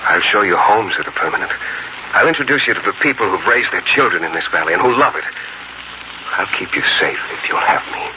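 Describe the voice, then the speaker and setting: An actor playing a tender, hopeful young settler husband in 1940s radio drama style, with an earnest, romantic delivery. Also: male voice, deep voice, animated, fairly quick, noisy background. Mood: tender, reassuring. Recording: muffled 1940s radio recording with music.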